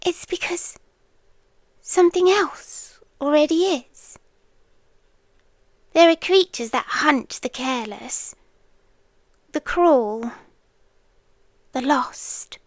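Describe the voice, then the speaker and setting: Ancient protective female creature played by a woman, British, high-pitched and hushed, eerie yet tender, very slow intimate ASMR delivery. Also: animated, no echo. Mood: grave, ominous. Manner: hushed, often whispering, with lingering pauses.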